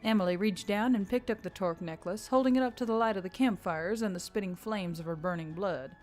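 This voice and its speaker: A woman's voice: mid-range voice, a little fast, a little mellow. A woman reading scripted fiction as a dramatic narrator. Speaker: story narrator.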